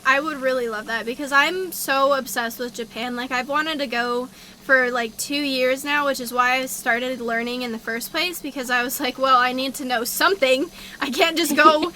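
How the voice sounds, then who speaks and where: A young woman streamer chatting casually, excitable, laughing and tripping over her words. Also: high voice, normal speed, slight background noise. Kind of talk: casual chat on a livestream.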